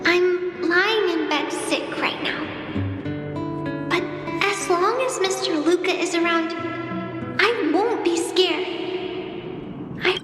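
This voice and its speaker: A woman's voice: very high-pitched, fairly bright tone, heavily acted. A woman voicing a sick young girl in a game story, earnest, hopeful and childlike, dreaming of being a hero. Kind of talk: scripted game story monologue, letter read aloud. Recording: echoey long reverb over clear music.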